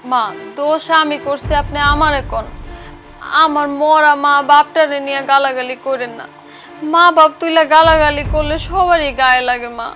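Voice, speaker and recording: An actress playing a wronged, dutiful young village daughter-in-law in a TV drama, pleading respectfully but in protest, with a Bangladeshi accent. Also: female voice, high voice, fairly even intonation, moderate pace, bright, forceful tone, faint music behind. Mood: hurt, protesting. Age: young adult. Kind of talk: melodramatic TV drama acting.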